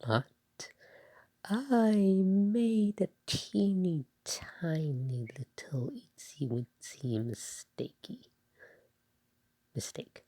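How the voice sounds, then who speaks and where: A woman playing a bashful, doting god of love in an ASMR roleplay, speaking softly and breathily with a hesitant, tender, playful manner. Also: on the low side, very slow, no room sound, exaggerated voice. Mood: gentle, sheepish. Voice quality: soft, breathy, partly whispered. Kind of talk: ASMR roleplay spoken to the listener.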